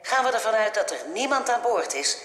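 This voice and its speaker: A woman's voice: on the high side, lively intonation, fairly bright tone. Echoing, cold female flight controller. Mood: cold, official. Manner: formal, measured, coldly threatening. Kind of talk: science fiction radio drama acting. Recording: echoey, long hall-like reverb.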